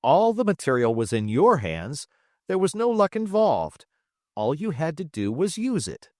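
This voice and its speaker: A man's voice: fairly high pitch, big pitch swings, a little slow, darkish tone, no room sound, studio quality, pitched above the performer's own voice. A man voicing a triumphant, boastful male space trader in an audiobook, grandiose and teasing as he lectures. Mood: smug, paternal. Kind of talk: audiobook character dialogue.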